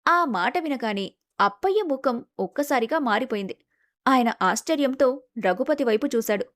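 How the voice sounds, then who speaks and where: A woman narrates a scripted family story as its storyteller. Her voice sits somewhat high. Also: animated, moderate pace, a bit bright.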